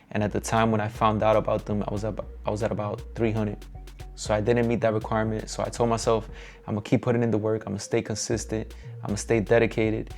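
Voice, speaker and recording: Young Dominican guy, low steady voice, casual and upbeat, touring his home studio. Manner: relaxed, upbeat and encouraging, slangy. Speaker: musician giving a studio tour. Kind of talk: casual vlog walkthrough. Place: home room turned music studio.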